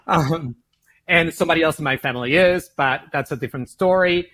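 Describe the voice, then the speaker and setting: A man from Argentina with an Argentine Spanish accent, a founder and CEO answering interview questions in an animated way, often repeating words as he thinks. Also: fairly high pitch, brisk.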